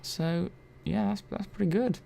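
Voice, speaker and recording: A man playing a curious, gently chatty robot repair technician in a soft-spoken ASMR roleplay, murmuring and thinking aloud in an unhurried, hesitant way. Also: high voice, steady tone, vocal fry, full character voice. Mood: gently absorbed. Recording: faint background noise.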